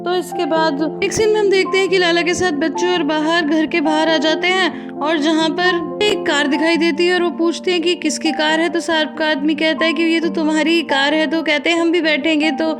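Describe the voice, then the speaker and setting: High-voiced woman recapping a drama. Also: steady tone, moderate pace, hardly any pauses. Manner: chatty, speaks directly to viewers. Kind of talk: conversational plot recap. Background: background music.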